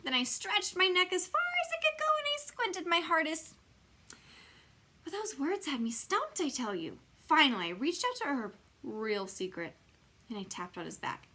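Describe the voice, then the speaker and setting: A woman's voice: high voice, very animated, normal speed, sharp tone, pitched above the performer's own voice, heavily acted. A woman voicing a spunky, blunt first-grade girl who tells her own story with dramatic emphasis. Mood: puzzled, dramatic. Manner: dramatic, emphatic, childlike phrasing. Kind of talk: children's storybook read-aloud in first person.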